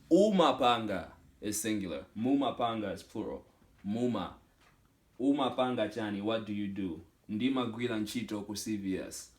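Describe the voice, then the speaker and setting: A young man teaching a language lesson at a whiteboard, speaking slowly and repeating each phrase with its meaning, recorded indoors at home. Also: fairly low pitch. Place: small indoor room at home.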